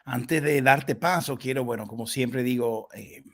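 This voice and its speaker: A man with a Dominican Spanish accent hosting a casual live interview, talking at length in an effusive, halting way. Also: mid-range voice. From Dominican Republic. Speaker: interviewer, host.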